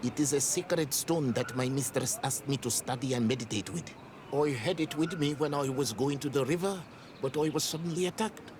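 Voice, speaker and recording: An actor playing a wary village acolyte in a video game, guarded, formal and earnest with outsiders. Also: male voice, mid-range voice, moderate pace, noisy background, close to an everyday voice. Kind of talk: video game cutscene acting.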